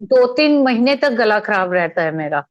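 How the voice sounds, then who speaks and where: A woman's voice: mid-range voice, big pitch swings, normal speed, no echo, highs cut off. A woman student telling her teacher about a problem in an online class, explaining at length and politely.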